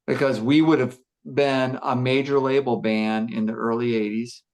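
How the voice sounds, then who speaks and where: Gruff male musician, mid-pitched, unhurried podcast storyteller who circles back and restarts. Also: flat delivery, a little mellow. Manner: reflective, rambling storytelling with fillers and restarts. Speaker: musician discussing his band. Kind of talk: casual podcast chat.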